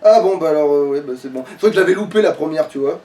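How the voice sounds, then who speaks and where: High-pitched man gaming, far from mic. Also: rapid-fire, mellow. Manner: informal, sweary, hesitant self-questioning. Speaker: let's play player commentating. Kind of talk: casual gaming chat. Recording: distant from the microphone.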